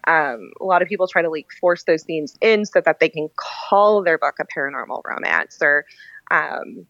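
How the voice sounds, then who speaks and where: A woman with a slightly low voice chats informally as a podcast guest, peppering her speech with "like" and "you know". The sound is dull and lo-fi, with very little room to it. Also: normal speed, fairly bright tone, no room sound. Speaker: guest novelist. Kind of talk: casual podcast chat.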